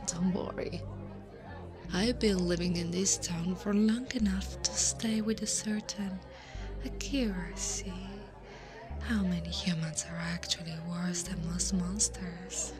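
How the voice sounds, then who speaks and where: Woman acting slow-talking, teasing older slime woman. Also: lowish voice, fairly even intonation. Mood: reassuring, coaxing. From Italy. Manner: teasing, breathy half-whispers, frequent soft laughs. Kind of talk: ASMR roleplay. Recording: music behind the voice.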